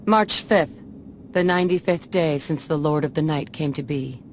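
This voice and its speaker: A woman's voice: low voice, moderate pace, a little hiss or hum, natural voice. A woman playing a stern, spirited overseer in a dramatic video game cutscene. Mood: matter-of-fact.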